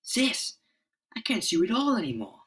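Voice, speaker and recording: A man voicing an excitable, hot-headed young superhero in a dramatic comic-book reading. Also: sits high, normal speed, distant mic, pitched above the performer's own voice, full character voice. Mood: alarmed. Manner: excited exclamations.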